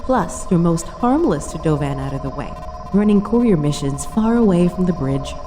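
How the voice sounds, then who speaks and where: A woman's voice: lowish voice, animated, moderate pace, background noise, close to an everyday voice. A woman playing a cool, analytical senior starship officer in a sci-fi audio drama, dry and precise in manner. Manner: dry, precise, matter-of-fact.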